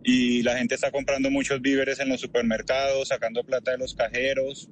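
Colombian man in his thirties, phone interview from a car, measured, filler-heavy, faint noise behind. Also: medium pitch, level tone. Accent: Colombian Spanish, lightly worn. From Colombia (Barranquilla). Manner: thoughtful, many fillers like "pues" and "o sea". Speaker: interviewee, translator in Ukraine. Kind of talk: phone interview while driving.

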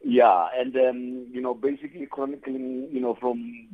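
A South African man giving conversational interview answers in a halting way, often saying "you know". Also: medium pitch, flat delivery. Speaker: guest, theatre playwright and producer. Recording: tinny phone-like line.

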